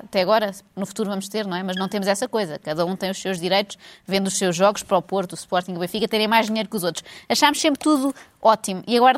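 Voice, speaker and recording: A woman with a European Portuguese accent, co-hosting a casual chat and ranting sarcastically about football and money. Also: medium pitch. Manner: sarcastic, animated rant.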